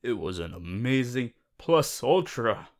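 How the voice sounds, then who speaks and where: A man voicing a boisterous, cheery young superhero student in an audio roleplay, halting at times and theatrically heroic. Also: sing-song. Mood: earnest, upbeat. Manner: halting, theatrical, breaks into booming heroic declarations. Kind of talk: ASMR audio roleplay to a listener.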